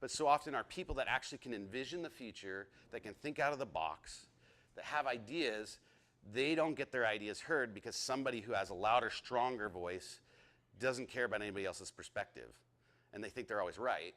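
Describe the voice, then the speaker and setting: A man in his thirties teaching a leadership lesson to a group, excitable and chatty, often asking the group questions. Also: medium pitch, brisk. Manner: excitable, talks a lot, asks the group questions.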